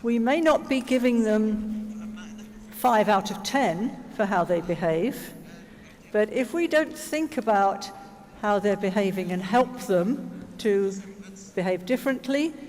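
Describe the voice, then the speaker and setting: Conference speaker, explanatory answers, unhurried, reflective, rhetorical questions, long hall reverb. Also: medium pitch, animated, a little mellow. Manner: reflective, uses rhetorical questions and check-ins. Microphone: stage microphone. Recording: reverberant hall over PA microphone.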